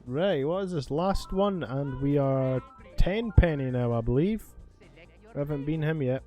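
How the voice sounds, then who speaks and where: A man's voice: fairly high pitch, expressive, unhurried, highs cut off. A man giving rambling, sweary commentary as he plays a video game. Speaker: gaming video host. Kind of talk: casual gameplay commentary. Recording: faint game music behind. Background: video game music.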